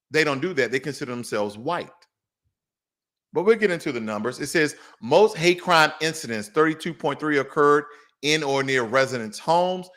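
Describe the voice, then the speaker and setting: A man's voice: medium pitch, a bit bright. An American man hosting a news commentary show, talking viewers through charts in a didactic, emphatic way and often checking that they follow.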